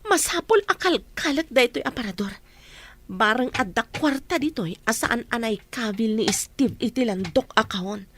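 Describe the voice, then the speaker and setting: Woman playing a high-voiced, bossy scheming wife. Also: expressive, moderate pace, fairly bright tone, slight background noise. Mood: greedy, scheming. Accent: Ilocano Filipino. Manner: imperious, scolding. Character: bossy, greedy, scheming wife and mistress of household. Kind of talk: comedy radio drama acting.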